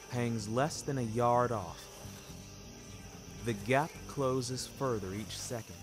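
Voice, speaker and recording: Slow, theatrical male storyteller over music. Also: medium pitch. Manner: florid, theatrical, precise. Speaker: narrator of a fiction podcast. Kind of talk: first-person dramatic scripted narration.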